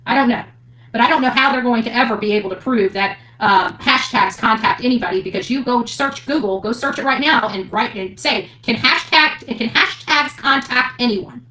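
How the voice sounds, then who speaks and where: A woman's voice: on the high side, moderate pace, bright, forceful tone, rough recording. A woman ranting unscripted to her online audience in a defiant, indignant, emphatic manner. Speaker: online commentator covering court cases.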